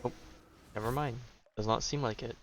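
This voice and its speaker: A man's voice: mid-range voice, steady tone, normal speed, a bit bright, a little hiss or hum. A man giving casual gameplay commentary as a streamer, rambling and wry. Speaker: gaming streamer.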